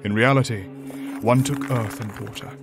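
An actor playing a grave, ancient demon from a higher dimension in a horror audio drama, speaking formally and deliberately. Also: male voice, steady tone, music in the background, lightly acted. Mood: solemn. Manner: formal, deliberate, solemn, eloquent.

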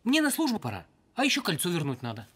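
A man's voice: high-pitched, wildly swooping pitch, fast talker, sharp tone, husky, exaggerated voice. A man playing a deferential young servant of a cartoon king, polite and eager to be off. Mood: polite, restless.

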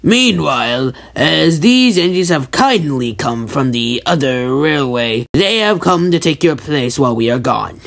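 A pompous, stern railway controller from a storybook , played by a man. He gives formal orders like speeches, with expressive pitch and some creak, over music. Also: measured pace, hardly any pauses, full character voice. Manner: formal, commanding speech-making.

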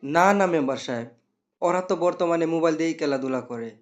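A man's voice: on the high side, fast talker, lo-fi sound, natural voice. A man playing an officious, talkative assistant to a village councilman in a cartoon. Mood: dismissive. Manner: argumentative, talkative. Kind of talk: cartoon dialogue.

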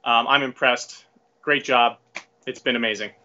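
A man's voice: medium pitch, fairly even intonation, moderate pace, pushed and bright. A man in his thirties chatting casually as a livestream guest over a video call. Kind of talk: casual chat. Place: home workshop room, indoors. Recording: dull lo-fi video-call audio.

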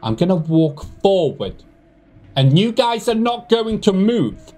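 High-pitched young man doing game commentary. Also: slow. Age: young adult. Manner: animated, nervous joking ramble. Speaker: gaming video host. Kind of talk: casual reaction commentary on a horror game. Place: small room with foam panels at home. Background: eerie game music with organ.

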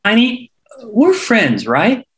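A woman's voice: on the high side, wildly swooping pitch, slow pace, a bit bright, full character voice. A woman playing a manipulative, sugary bully who needles and coaxes in a script read. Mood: coaxing.

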